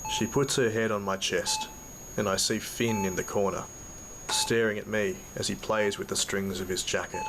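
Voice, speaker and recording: An actor playing a badly injured, weary man, narrating his ordeal in halting fragments over heavy noise in an audio drama. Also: lowish voice, steady tone, lightly acted. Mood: sorrowful, tender. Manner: halting, broken into short fragments. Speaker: narrator and lead character. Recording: loud noise behind the voice.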